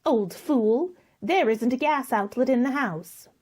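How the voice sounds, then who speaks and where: A woman acts as a scornful society woman in a radio mystery drama. She speaks in a fairly high voice, blunt, sneering and suspicious. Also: expressive, unhurried, darkish tone. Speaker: actor in an amateur radio play.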